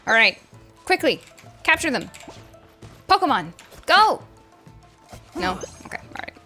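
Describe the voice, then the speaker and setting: A woman with a bright, fairly high voice that leaps all over in pitch. She streams gameplay with playful, chiding commentary in a casual style. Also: sing-song, unhurried. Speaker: game streamer. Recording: game music under the voice. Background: video game music.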